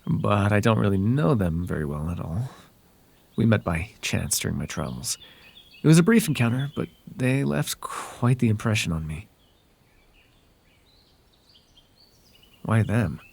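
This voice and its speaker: A man voicing a whimsical, softly possessive male fairy in an intimate, hesitant, conversational ASMR roleplay. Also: on the low side, sing-song, normal speed, gravelly, slightly rough, exaggerated voice. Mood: smitten.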